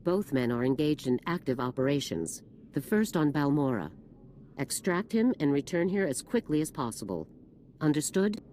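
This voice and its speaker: An actress playing a stern, authoritative army general in a video game, formal and commanding, over background ambience. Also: female voice, low voice, sing-song, normal speed, warm tone, slight background noise. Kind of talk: video game dialogue acting.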